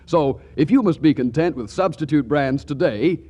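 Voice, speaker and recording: A man announcing a 1940s radio show in a genial, persuasive manner. His pitch sits slightly raised, with lively, sweeping intonation. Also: moderate pace, highs cut off.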